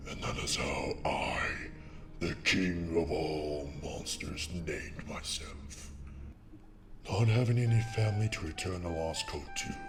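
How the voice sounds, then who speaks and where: A man's voice: low voice, slow pace. A man playing a grown pony king of monsters who recounts his lonely childhood in a solemn, wistful storytelling style. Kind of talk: dramatic first-person storytelling. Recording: music under the voice. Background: music.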